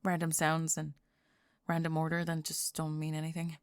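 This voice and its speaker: A woman's voice: deep voice, monotone, no room sound, clean studio sound. A young woman acting out a prickly, flustered goth girl student with a secret crush in an ASMR roleplay, sarcastic and defensive. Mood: flustered, rambling. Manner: sarcastic, defensive, halting and stammering when flustered. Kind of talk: ASMR roleplay acting, one-sided dialogue.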